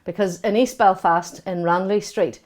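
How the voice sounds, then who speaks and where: A woman's voice: medium pitch, steady tone, moderate pace, a little mellow. A woman, asking encouraging questions in an interview.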